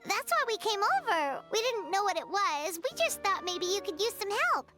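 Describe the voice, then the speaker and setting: Tiny childlike fairy girl, very high and bouncy, game music underneath. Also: expressive, normal speed. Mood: cheerful. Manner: talks about herself in the third person, blunt and curious. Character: small chirpy childlike female fairy companion. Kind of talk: video game voice acting. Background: clear game music.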